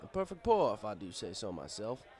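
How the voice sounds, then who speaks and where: Lively male storybook narrator, wry. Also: fairly low pitch, a little fast. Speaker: story reader. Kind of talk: first-person scripted narration, fan fiction audiobook.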